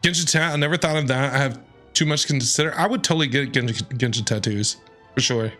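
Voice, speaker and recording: A man's voice: mid-range voice, fast, bright, forceful tone, faint music behind. A man reacting casually to a game as he streams, chatty and reassuring. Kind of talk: casual gameplay reaction commentary.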